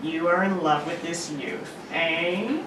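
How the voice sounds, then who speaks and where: A woman's voice: lowish voice, fairly even intonation, takes it slowly, roomy sound, distant mic, noisy background. A woman voicing a boastful, commanding male king of a winged race, with a playful, mock-regal delivery in a comic table read of an old radio serial.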